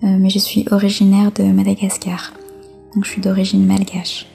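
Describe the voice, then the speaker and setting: A woman from France giving personal testimony as an interview guest, speaking reflectively with hesitant pauses. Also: medium pitch, completely flat delivery, gravelly, dark tone, faint music behind.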